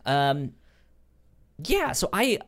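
A man with a stutter, explaining things in a halting way in a casual book discussion as a podcast co-host. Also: high-pitched, very animated, a bit bright. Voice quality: stutter, repeated sounds and syllables.

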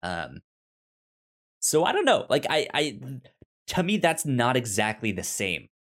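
A man co-hosting a casual film discussion podcast, talking in a halting, stammering way with repeated words and trailing phrases. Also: mid-range voice, wildly swooping pitch, fairly bright tone. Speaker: podcast co-host.

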